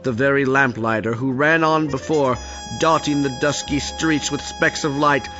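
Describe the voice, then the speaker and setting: A man's voice: medium pitch, steady tone, moderate pace. A man narrating a Victorian story as a scripted audio play. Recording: dull lo-fi audio with music behind.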